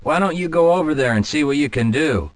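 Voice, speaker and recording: A man's voice: on the high side, expressive, brisk, lightly acted. Man acting weary security guard, noisy surroundings. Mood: casual. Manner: conversational, wearily apologetic. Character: weary, easygoing male facility security guard. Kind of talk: video game voice acting.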